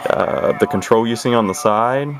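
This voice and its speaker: Deep-voiced man rambling over radio hiss. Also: normal speed. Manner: rambling, hesitant, many fillers and restarts. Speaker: CB radio collector showing a radio. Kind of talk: casual show-and-tell video. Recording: noisy home video with radio hiss.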